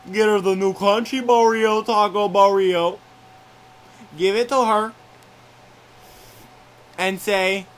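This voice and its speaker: A man's voice: high-pitched, fairly bright tone, slight background noise. A man voicing an eager, silly chihuahua who gives playful, repetitive love advice.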